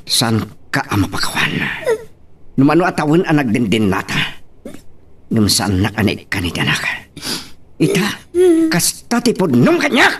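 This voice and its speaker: Radio drama role: volatile, mocking husband, rough husky voice, highly animated intonation. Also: male voice, moderate pace, long pauses, full character voice. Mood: accusing, angry. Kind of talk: melodramatic radio drama acting.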